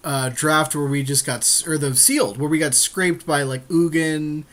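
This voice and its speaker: Male co-host, slightly high voice, blunt and self-mocking, casual sweary game chat. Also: fairly even intonation, moderate pace, a bit bright. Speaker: podcast co-host and card game player. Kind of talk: casual banter and game commentary.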